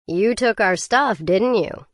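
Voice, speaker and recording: A woman's voice: mid-range voice, slow, dry recording, studio quality, natural voice. A woman voicing a folksy, falsely friendly burly pilot. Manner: folksy, coaxing. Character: big burly male bush pilot, falsely friendly, cagey.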